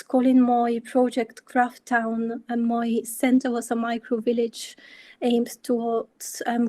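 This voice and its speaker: A young woman in her twenties from Bulgaria presenting her work in an online talk, measured, with frequent ums. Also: a little high, warm tone, no room sound. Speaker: architecture student speaker.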